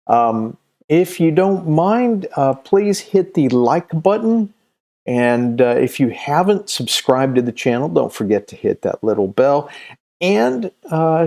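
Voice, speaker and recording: A middle-aged man talking casually to viewers as a video host, unscripted, with a halting, meandering delivery and long hesitations. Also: mid-range voice, creaky, warm tone. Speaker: host of a comics recommendation video channel.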